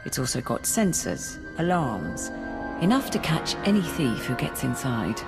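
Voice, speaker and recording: An actor playing a deferential Imperial operations officer in a video game, giving a brisk, orderly, businesslike briefing. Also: medium pitch, big pitch swings, long reverb tail, music in the background.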